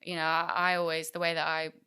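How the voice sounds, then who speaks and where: A woman's voice: low-pitched, monotone, fast, bright, forceful tone, smooth. A young woman musician from England chatting casually in an interview, with lots of fillers and restarts. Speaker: musician guest.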